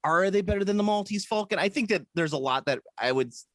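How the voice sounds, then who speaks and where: A man's voice: sits high, lively intonation, quick pace, dry recording. A man co-hosting a podcast, chatting casually in a rambling, hesitant way with lots of fillers and jokes. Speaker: podcast co-host. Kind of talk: casual chat. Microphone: podcast microphone.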